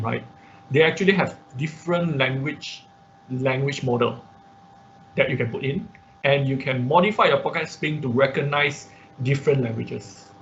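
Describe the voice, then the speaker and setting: A man teaches an informal live online tutorial, explaining haltingly with repetition and frequent check-in questions. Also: medium pitch, a little hiss or hum. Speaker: instructor of an online robotics class. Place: online class call. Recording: dull, muffled online-class audio.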